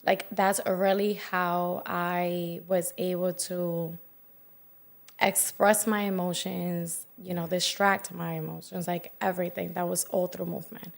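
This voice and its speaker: A young woman from the Dominican Republic, a wellness coach talking as a podcast guest, quick and chatty with lots of "like.". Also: lowish voice, level tone, gravelly. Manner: quick, chatty, many "like" fillers. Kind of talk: casual interview chat.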